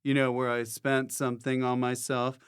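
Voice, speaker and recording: A man's voice: medium pitch, monotone, moderate pace, clean tone. A man hosting a casual comedic interview, tossing out wry, absurd quips. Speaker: podcast host.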